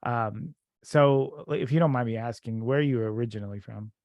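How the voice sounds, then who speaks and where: A man's voice: mid-range voice, fairly quick, a little mellow, no echo, lo-fi sound. A man hosting a casual podcast interview, rambling with lots of fillers and restarts. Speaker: podcast host.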